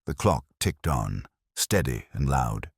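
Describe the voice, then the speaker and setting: A man narrating a detective story in a dramatic, husky voice. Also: very deep voice, very animated, takes it slowly, no room sound, studio quality. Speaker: audiobook narrator.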